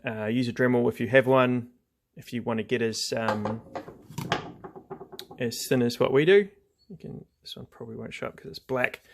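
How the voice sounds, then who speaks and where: A man's voice: medium pitch, normal speed, vocal fry, noisy background. A man giving a casual, hands-on craft demonstration, explaining each step in an informal, laid-back way. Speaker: craft tutorial host.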